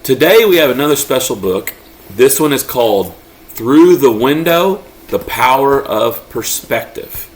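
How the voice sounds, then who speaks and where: A man's voice: medium pitch, animated, a little slow. Man hosting a children's read-aloud. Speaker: father reading his own children's book aloud.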